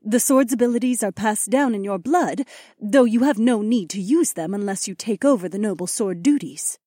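A composed noblewoman played by an actress explains things in a fantasy audiobook. She is matter-of-fact and knowledgeable, with a mid-range pitch and dry sound. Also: moderate pace, mellow, dry recording, close to an everyday voice. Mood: explaining. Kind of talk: audiobook character dialogue.

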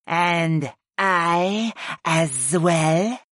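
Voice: mid-range voice, very slow, a bit bright, no room sound, studio quality, voice pushed up. A narrator voicing female goddesses in a fantasy audiobook, murmuring, coaxing and pouting in a sultry way.

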